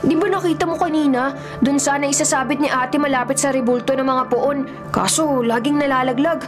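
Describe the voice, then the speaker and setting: High-pitched earnest young boy, acted by a woman. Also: steady tone, a little fast, natural voice. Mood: matter-of-fact. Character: frightened, curious young boy who loves sweets. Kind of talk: radio horror drama acting. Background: background music.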